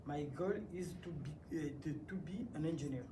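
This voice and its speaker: A man's voice: a little high, normal speed, warm tone. A man from the Republic of the Congo with a Congolese French accent, whose first language is French, introducing himself hesitantly in a short recorded video. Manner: hesitant, with fillers. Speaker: adult education student. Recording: distant recording with ambient noise.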